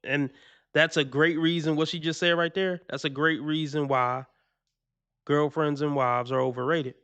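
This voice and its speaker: A man reacts to clips on his stream in loose, slangy talk, chuckling and saying things twice for weight. His voice is a touch high and smooth, recorded close and dry with no room sound. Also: fairly even intonation, normal speed, fairly bright tone, no echo, lo-fi sound. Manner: slangy, laughing, repeats himself for emphasis. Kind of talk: casual reaction commentary.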